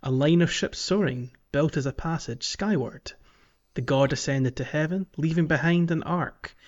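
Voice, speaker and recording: A man's voice: fairly high pitch, moderate pace. A man co-hosting a podcast, chatting casually about a video game, recorded on a slightly muffled mic. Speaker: podcast co-host.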